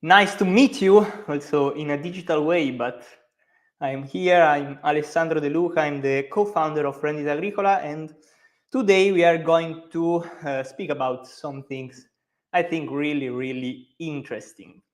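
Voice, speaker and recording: A man's voice: a little high, normal speed. A man in his thirties with a strong Italian accent, a native Italian speaker hosting a live stream as a company co-founder, talking with many fillers and restarts. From Italy.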